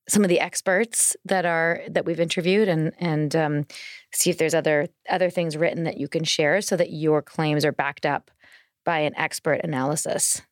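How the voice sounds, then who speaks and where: A woman's voice: low voice, creaky, no echo, clean studio sound. A woman co-hosting a casual podcast conversation, explaining things through personal anecdotes. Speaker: podcast co-host.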